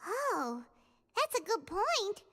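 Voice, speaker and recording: A woman voicing a tiny, childlike, blunt fairy companion in a video game with a squeaky voice. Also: very animated, slow, exaggerated voice. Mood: inquisitive. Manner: refers to self in third person. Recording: loud game music underneath.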